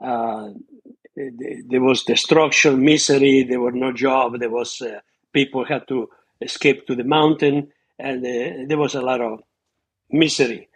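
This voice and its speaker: A man's voice: mid-range voice, unhurried, vocal fry, breathy edge. A man in his eighties with an Italian accent, a retired surgeon speaking as a podcast guest, telling his life story earnestly and often restarting as he searches for words. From Italy. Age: 80s. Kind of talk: reminiscing interview storytelling.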